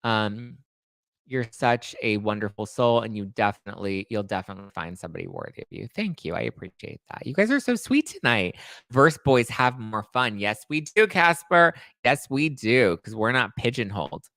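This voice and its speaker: A man's voice: lowish voice, sing-song, moderate pace, a bit bright, dry recording. Chatty young man answering live chat. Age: 20s. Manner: chatty, candid, reads viewer comments aloud and answers them. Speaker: podcast host reading live chat. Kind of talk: casual live-stream chat.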